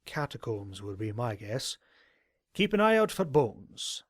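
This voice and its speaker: A man plays an ageing male pony scholar from an audio drama. He talks slowly and deliberately, dry and a little hesitant, like a learned explorer who will not be hurried. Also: medium pitch, sing-song, no echo. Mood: dry, explaining. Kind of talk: audio drama character acting.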